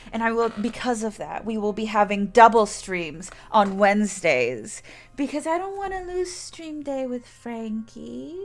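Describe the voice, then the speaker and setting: Slow, rambling woman streamer. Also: medium pitch. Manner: rambling, often restarting sentences. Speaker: game streamer. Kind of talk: casual chat to stream viewers.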